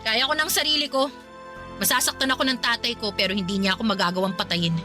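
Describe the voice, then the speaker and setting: An actress playing a defiant, bitter pregnant student in a Filipino radio drama, sarcastic and blunt with a Filipino accent. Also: female voice, on the high side, fairly even intonation, quick pace, music in the background, close to an everyday voice. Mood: stern. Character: defiant pregnant young student, bitter, sharp-tongued girlfriend.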